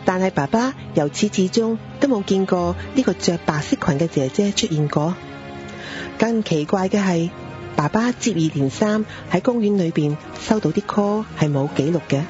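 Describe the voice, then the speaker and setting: A woman narrates a scripted horror tale as the storyteller. Music plays clearly behind her voice, and the recording is slightly dull. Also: medium pitch. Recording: dull lo-fi audio. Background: prominent background music.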